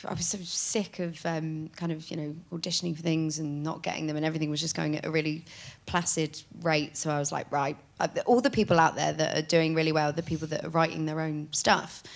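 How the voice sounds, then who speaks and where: A woman's voice: deep voice, little pitch movement, a little fast, creaky, few pauses. A woman in her late twenties, a podcast guest chatting casually, telling rambling stories with frequent giggles. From England. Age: late 20s. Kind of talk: casual chat.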